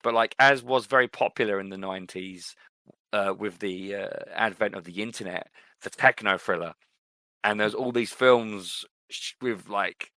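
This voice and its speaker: A man's voice: lowish voice, normal speed, bright, forceful tone, no echo. A man co-hosting a podcast, chatting casually about films and TV. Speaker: podcast co-host.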